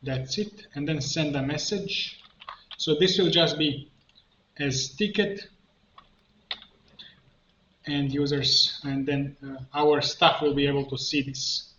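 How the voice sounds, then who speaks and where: A man giving an unscripted software tutorial, haltingly narrating each step as he works and thinking aloud. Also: fairly high pitch, fairly even intonation. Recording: muffled lo-fi, roomy echo, background noise.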